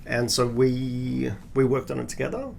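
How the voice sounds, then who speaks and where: A man from Australia talks through his career as a podcast guest. His voice is deeply gravelly, full of fry at the ends of phrases, at a middling pitch. He explains at length and restarts his sentences often. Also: completely flat delivery, a little mellow, a little hiss or hum. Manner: measured, explanatory, with frequent restarts. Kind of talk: conversational podcast interview.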